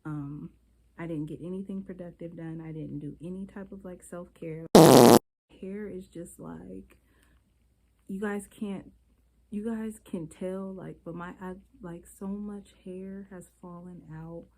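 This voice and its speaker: Stuffy-nosed woman, slightly low voice. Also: steady tone, unhurried, dark tone, rough recording. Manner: rambling, candid, talks straight to viewers. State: stuffy from a cold. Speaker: beauty vlogger. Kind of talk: casual chatty vlog.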